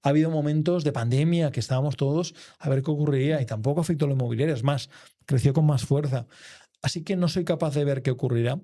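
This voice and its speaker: A man, a guest real estate investor, speaking in a measured, explanatory way in an interview. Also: a little high, warm tone. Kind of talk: conversational interview.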